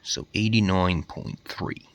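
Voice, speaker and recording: A man's voice: low voice, slow. A man hosting a DIY video, giving a casual demonstration and often hesitating as he searches for words.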